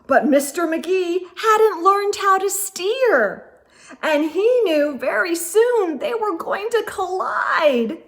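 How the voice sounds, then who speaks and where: A woman with a high, very expressive voice reads a children's story aloud. Her pitch swings widely and she takes her time, drawing out the suspense in a theatrical storytime manner. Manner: theatrical, drawn-out suspense with gasps and asides. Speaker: storytime host reading picture books aloud.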